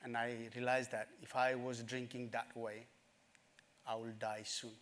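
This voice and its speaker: A middle-aged man from Nepal, telling his life story in a summit talk, earnest and halting, with many fillers. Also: mid-range voice, level tone, a bit bright. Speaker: speaker at a summit. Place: conference stage.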